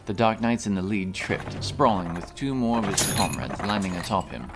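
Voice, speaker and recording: A man's voice: fairly low pitch, lively intonation, normal speed, over music. A man reading a scripted fantasy story as an audiobook narrator.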